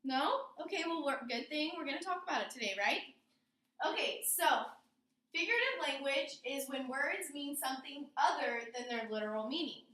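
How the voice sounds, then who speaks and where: A woman teacher with a very high voice explains things to a class and often checks in with questions. She is recorded in an echoey room, some way from the microphone. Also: expressive, normal speed, pushed and bright. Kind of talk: classroom lesson on figurative language. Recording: roomy echo, distant microphone.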